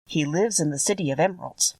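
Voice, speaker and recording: A woman's voice: sits low, fast, darkish tone, slightly rough, no echo, recorded from a distance, rough recording. A woman voicing a kindly, solemn little old good witch in a storybook reading. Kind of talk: audiobook character voice.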